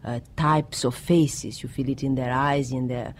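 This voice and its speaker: A man's voice: mid-range voice, fairly even intonation, measured pace, a little hiss or hum. A middle-aged man from Romania, speaking English as a later language in a reflective interview, often searching for words. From Romania, of Greek parents. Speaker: poet and writer interviewee.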